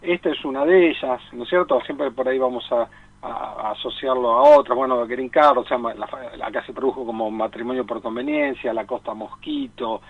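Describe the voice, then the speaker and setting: A man with an Argentine accent giving halting, reflective conversational commentary as a film columnist, calling into a radio show. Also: medium pitch, dry recording. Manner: halting, reflective, repeats himself. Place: remote call into a radio studio. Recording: thin phone-line sound.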